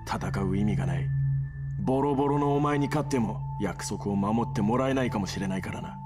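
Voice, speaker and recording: An actor playing a cold, vengeful, ambitious young gangster fighter, curt and threatening, in dramatic video game dialogue. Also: male voice, lowish voice, brisk, lightly acted. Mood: menacing. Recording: music under the dialogue.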